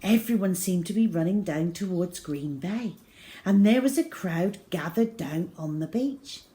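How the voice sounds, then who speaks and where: A woman storyteller reading a children's book aloud in the first person, with dramatic emphasis that moves between emphatic and hushed delivery. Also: lowish voice, expressive, measured pace. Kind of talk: expressive first-person children's audiobook narration.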